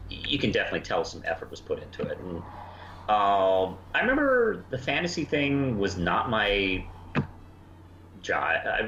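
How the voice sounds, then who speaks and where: A man, probably middle-aged, chatting casually as a podcast co-host reviewing cartoons, in a halting, hesitant way with frequent restarts. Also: sits low, fairly bright tone. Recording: roomy, muffled, noisy home recording.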